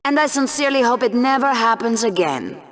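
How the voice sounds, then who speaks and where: A woman's voice: fairly high pitch, lively intonation, a little fast, lightly acted. An actress playing a snide, sardonic female co-anchor with a mean streak in a video game, theatrical in her sports banter. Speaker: co-host.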